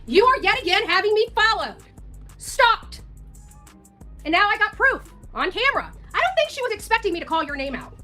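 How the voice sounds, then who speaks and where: A woman with a very high, sharp, bright voice goes off on a livestream rant. She is combative and taunting, hammering phrases by repeating them. Faint music sits behind her. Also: sing-song, moderate pace.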